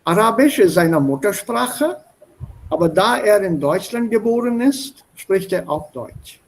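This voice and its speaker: A man's voice: sits high, lively intonation, unhurried, slight background noise. A man teaching German in an online class, explaining words and switching between German and English. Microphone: video call.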